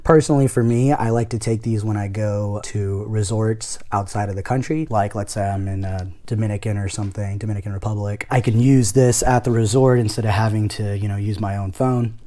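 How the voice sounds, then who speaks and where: A man's voice: on the low side, steady tone, fairly quick, few pauses, warm tone. A man giving a casual product demonstration, explaining things step by step in an informal way. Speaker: product reviewer. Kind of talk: casual unboxing demo.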